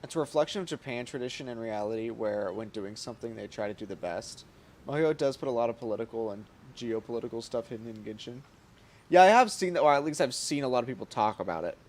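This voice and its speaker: A man's voice: mid-range voice, lively intonation, fast, a little hiss or hum. A man giving casual, playful live gameplay commentary as a streamer, informal and slangy. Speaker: game streamer.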